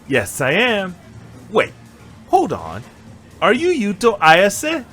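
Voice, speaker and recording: A man playing an eager, good-natured fast-food worker and retired martial arts pupil in an amateur anime-style drama, excitable and boastful but respectful. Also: sits high, breathy edge, soft background music, heavily acted. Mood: friendly, challenging.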